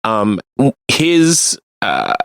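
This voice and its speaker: A man co-hosting a radio show, chatting casually about sports and explaining things in a halting way. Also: lowish voice, huge pitch swings, gravelly, fairly bright tone, clear, resonant tone, no room sound, studio quality. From Canada. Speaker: radio co-host.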